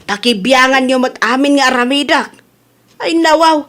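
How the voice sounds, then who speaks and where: A woman's voice: high-pitched, moderate pace, a bit bright. A woman with an Ilocano accent playing a vain, stubborn, playful old mother in a radio comedy drama, coy and defensive.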